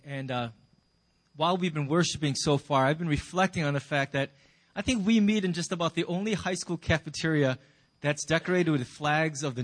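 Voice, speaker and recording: A man's voice: a little high, a bit bright. A man speaking informally to a congregation as a pastor introducing guest speakers. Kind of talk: informal church address. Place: high school cafeteria used for church.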